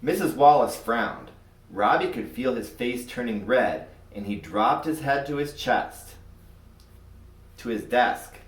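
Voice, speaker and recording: A man reading a children's story aloud in an expressive, scripted narrating style. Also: medium pitch, takes it slowly. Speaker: storybook reader. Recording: roomy echo, far from mic.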